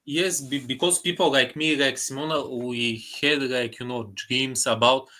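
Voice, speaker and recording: A man's voice: mid-range voice, steady tone, a little slow, pushed and bright. A man with a Bulgarian accent chatting casually as an interview guest, often repeating short phrases for emphasis. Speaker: guest, indie filmmaker.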